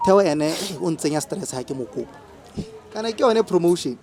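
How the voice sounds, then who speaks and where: A man's voice: on the high side, animated, brisk, a little mellow, faint music behind. A man playing a wheedling young hustler in a radio drama, with a Botswana accent and slangy, coaxing talk. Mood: stressed, coaxing. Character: wheedling young hustler, cajoling his boss for favours.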